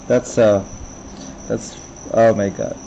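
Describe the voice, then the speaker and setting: A man live streaming a game with casual reaction commentary. He talks very slowly in a mellow, relaxed voice of medium pitch, over faint background noise. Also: creaky, lo-fi sound.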